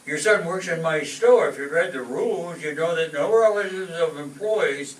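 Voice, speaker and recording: A man's voice: fairly high pitch, normal speed, natural voice. A man playing a formal, firm store manager in an old-time radio comedy, speaking in an announcing manner. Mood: firm, apologetic. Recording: echoey, distant mic, noisy room.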